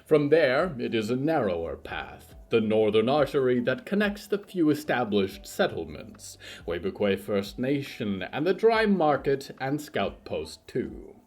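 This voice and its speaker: A man delivering formal, theatrical scripted narration for a horror fiction podcast, in a measured storytelling manner with a husky voice. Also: mid-range voice, big pitch swings, darkish tone, faint music behind.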